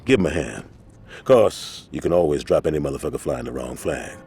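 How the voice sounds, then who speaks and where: A man playing a commanding, gravely serious street gang leader in a video game cutscene, speaking in measured, authoritative speeches. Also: extremely low pitch, expressive, dark tone, faint music behind, full character voice. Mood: firm, instructing.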